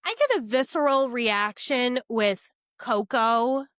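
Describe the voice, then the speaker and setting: A woman's voice: on the high side, animated, slow, a bit bright, smooth, dry recording, studio quality. A woman co-hosting a podcast, chatting casually and emphatically, with some swearing. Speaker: podcast co-host. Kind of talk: casual book discussion chat.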